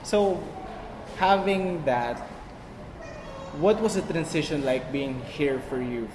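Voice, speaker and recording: A man's voice: fairly high pitch, slow pace. A young Filipino man who grew up abroad, hosting a podcast and asking questions in casual chat. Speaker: podcast host, interviewer. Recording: echoey hall with clear background noise.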